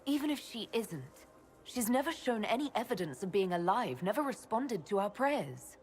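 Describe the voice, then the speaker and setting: A woman playing an earnest elven heroine in a video game, calm, plain-spoken and questioning. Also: medium pitch, normal speed, faint music behind, close to an everyday voice. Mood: skeptical. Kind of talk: video game cutscene acting.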